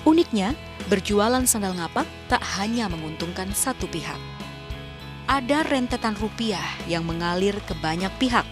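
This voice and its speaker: A woman's voice: medium pitch, animated, normal speed. Woman reading a news feature over music. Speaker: reporter. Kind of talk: scripted news narration.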